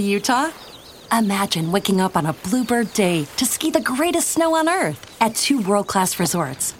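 A woman's voice: medium pitch, slight background noise. A woman giving a scripted tourism advertisement voice-over.

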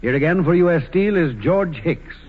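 A man's voice: fairly high pitch, lively intonation, moderate pace, husky. A man making formal, scripted 1940s radio show announcements. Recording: muffled old radio broadcast. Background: music.

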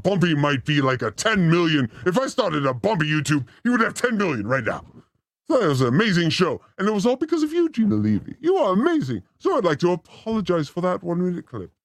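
Male comic host, theatrical and exclamatory, high-pitched, recorded very dry. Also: dry recording. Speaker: host of a livestream show. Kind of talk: rambling comic monologue in a demon persona.

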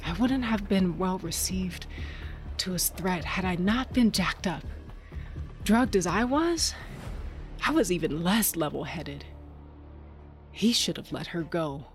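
A woman's voice: lowish voice, animated, slow, long pauses, soft background music. An actress playing Tasha, a tough, rage-driven woman cage fighter, narrating in the first person in an audio drama with a brooding, dramatic delivery. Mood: grim, hazy.

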